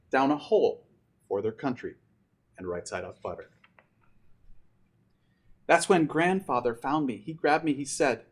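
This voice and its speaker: A man's voice: medium pitch, darkish tone. A man reading a rhyming children's story aloud with theatrical, dramatic delivery. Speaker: storybook reader.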